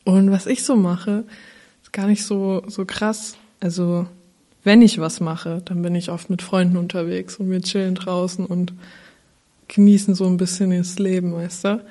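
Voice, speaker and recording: An eighteen-year-old woman in a casual group chat, talking relaxed and slangy with hesitations. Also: on the low side, moderate pace. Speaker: podcast participant. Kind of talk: casual round-table chat.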